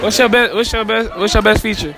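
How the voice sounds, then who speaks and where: Teen boy, high voice, joking phone interviewer, crowded cafeteria noise. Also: fairly even intonation, quick pace. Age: teens. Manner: teasing, playful, slangy. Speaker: street interviewer. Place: busy school cafeteria. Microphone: phone camera held close. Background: loud crowd chatter.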